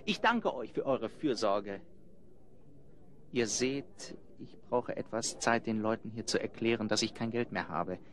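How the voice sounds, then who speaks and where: A man playing a secretive, smooth-talking young gentleman in a children's audio drama, formal and mannered in speech. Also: lowish voice, lively intonation, measured pace. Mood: polite, confiding.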